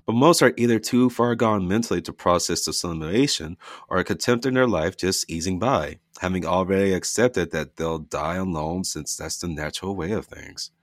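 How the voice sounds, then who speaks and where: A man voicing a gentle, devoted ghost husband who talks in a coaxing, reassuring way. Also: low voice, normal speed, no echo, clean studio sound, lightly acted.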